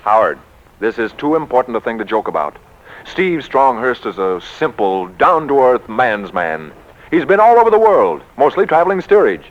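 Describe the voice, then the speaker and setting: Actor as a bombastic American publisher, wildly animated, old radio. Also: male voice, mid-range voice, normal speed, lightly acted. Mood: boastful. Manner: theatrical, emphatic, grandiose. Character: excitable, bombastic publishing executive, grandly overselling. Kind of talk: 1940s radio comedy acting. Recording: muffled 1940s radio recording.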